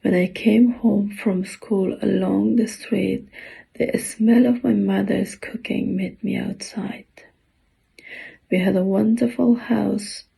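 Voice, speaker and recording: A woman in her forties from Afghanistan, with an Afghan accent, reading her own poem slowly and haltingly, pausing between phrases. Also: mid-range voice, steady tone, creaky, warm tone, breathy edge. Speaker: poet.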